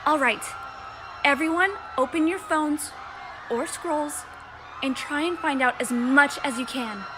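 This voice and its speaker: A woman voicing a take-charge teenage superhero girl in an audio drama, commanding and energetic. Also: sits high, a little slow, music in the background, poor audio. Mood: urgent, commanding. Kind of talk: fan audio drama acting.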